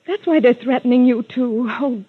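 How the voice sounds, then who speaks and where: An actress playing a frightened, pleading young secretary in a 1950s radio melodrama, with a breathy, hesitant delivery. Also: female voice, on the high side, moderate pace. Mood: anxious, earnest. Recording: tinny, roomy vintage radio recording.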